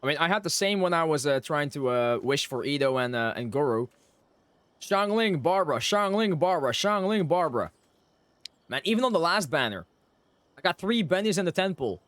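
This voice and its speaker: Fairly high-voiced man streaming a game. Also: sing-song, normal speed, a bit bright. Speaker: game livestreamer. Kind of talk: casual chat while playing. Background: music.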